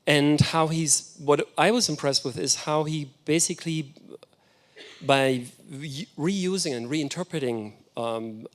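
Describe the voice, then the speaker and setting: A man's voice: a little high, slow pace. Enthusiastic German-native man lecturing. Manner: enthusiastic, reflective storytelling. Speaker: guest lecturer. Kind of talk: architecture lecture.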